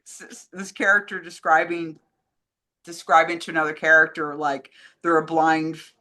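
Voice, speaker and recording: A woman's voice: low-pitched, little pitch movement, moderate pace, pushed and bright. A woman in casual conversation, talking in an enthusiastic, rambling way as a co-host. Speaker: co-host answering fan questions. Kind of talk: casual chat.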